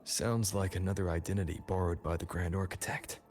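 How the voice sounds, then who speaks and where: A man playing a serious, thoughtful traveling hero in a video game, speaking in a grave, measured and reflective way. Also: deep voice, steady tone, darkish tone, faint music behind, natural voice. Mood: pondering. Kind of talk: scripted video game voice acting.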